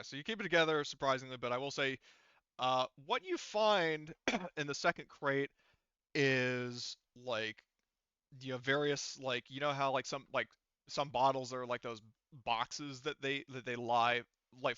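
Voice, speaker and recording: A man's voice: mid-range voice, a little slow, sharp tone, clear, resonant tone, no room sound, lo-fi sound. A man narrating a tabletop game as game master in a casual, improvised, rambling and sometimes sweary way.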